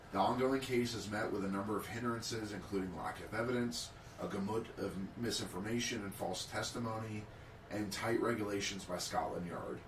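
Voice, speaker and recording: A man's voice: fairly low pitch, distant mic, slight background noise. A man co-hosting a casual podcast, reading prepared history notes aloud with small slips. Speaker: podcast co-host. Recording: roomy, off-mic sound.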